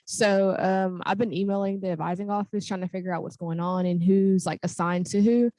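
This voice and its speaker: A woman's voice: medium pitch, fairly even intonation, a little fast, warm tone, no room sound, poor audio. A young woman, a student, raising a problem at a meeting over a video call in a casual, hesitant way. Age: young adult. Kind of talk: meeting discussion.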